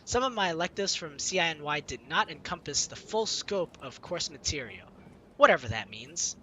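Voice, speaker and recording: A man's voice: a little high, very animated, moderate pace, sharp tone, slight background noise. A man hosting a gaming video, reading visual novel narration aloud informally, with casual asides and self-corrections. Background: game music.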